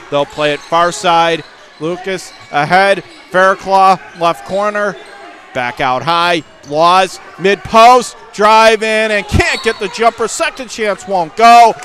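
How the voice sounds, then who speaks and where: An American man giving live, excitable radio play-by-play of a school basketball game in clipped phrases. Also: sits high. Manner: excitable, clipped running call of the action. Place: courtside at a school gymnasium. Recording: gym crowd noise, faint background hiss. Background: crowd and bouncing basketball.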